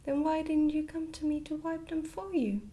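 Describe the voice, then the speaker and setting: A woman voicing a very old, kindly, gently chiding lady in a soft, whispered ASMR storybook reading. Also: high voice, little pitch movement, moderate pace, pitched above the performer's own voice, close to an everyday voice. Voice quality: sweet, soft.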